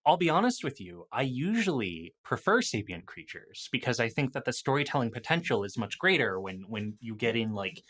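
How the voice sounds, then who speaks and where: Lively-pitched man chatting thoughtfully about games. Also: a little fast, few pauses. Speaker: podcast guest, game designer and writer. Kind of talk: casual discussion of games.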